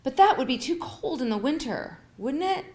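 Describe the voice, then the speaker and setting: A woman's voice: high-pitched, big pitch swings, normal speed, darkish tone, voice pushed up. A woman voicing a stubborn, wry elderly mother in a story read aloud. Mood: fretful. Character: stubborn, wry elderly mother who gets the last word. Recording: echoey, roomy sound.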